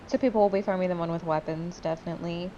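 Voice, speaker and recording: A woman's voice: lowish voice, fairly even intonation, fast talker, mellow, dull audio, slight background noise. A sleepy woman chatting casually and candidly with friends over gameplay, swearing freely as she goes. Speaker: gamer commentating with friends. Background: game music.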